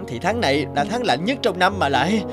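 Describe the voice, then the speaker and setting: An actor playing an eager, excitable young male agriculture intern in a cartoon, earnest and respectful. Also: sits high, fairly quick. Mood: complaining. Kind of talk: animated drama dubbing. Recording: music under the dialogue.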